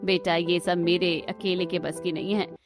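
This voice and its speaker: A woman's voice: fairly low pitch, little pitch movement, normal speed, music in the background, natural voice. A woman voicing a caring, practical mother-in-law in a cartoon story, motherly and encouraging.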